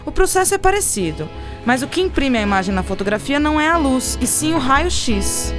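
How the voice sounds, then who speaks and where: A woman playing a caring, patient aunt in a children's audio drama, expressive and explanatory. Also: high-pitched, brisk, over music. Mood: explaining.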